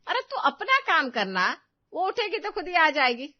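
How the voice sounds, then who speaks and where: A woman's voice: sits high, sing-song, normal speed, no echo, lo-fi sound, voice pushed up. A woman voicing a harsh, bossy older mother-in-law with a commanding manner in a family drama story. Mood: scolding, bossy. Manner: scolding, commanding.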